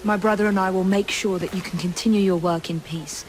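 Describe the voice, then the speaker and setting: An actress playing a courteous, composed young Victorian Englishwoman in a video game, measured and earnest, with an English accent. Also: medium pitch, fairly even intonation, background noise, close to an everyday voice. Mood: concerned. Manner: polite, measured. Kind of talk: video game cutscene acting.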